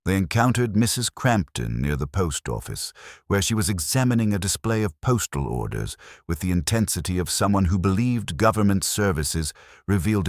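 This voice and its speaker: A man reading a detective story as scripted audiobook narration, in a measured, formal, literary manner. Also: low voice, no echo, studio quality.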